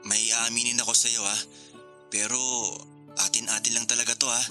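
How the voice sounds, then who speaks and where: An actor playing a joking, nervous young groom with cold feet in a Filipino radio drama, hesitant and confiding. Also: male voice, medium pitch, level tone, moderate pace, pushed and bright, soft background music.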